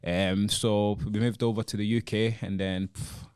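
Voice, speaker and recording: A man's voice: lowish voice, level tone, moderate pace, darkish tone, clear, resonant tone, no room sound, clean studio sound. A young man with a Glaswegian accent, a podcast guest talking in a frank, laid-back, casual way. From Scotland, born in Congo. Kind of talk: casual chat. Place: podcast studio.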